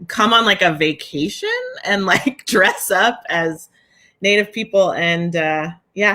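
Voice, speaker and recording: A woman in her thirties moderating a video call panel, animated and laughing often as she talks. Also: sits low. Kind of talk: conversational panel discussion. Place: indoors in a home room, on a video call.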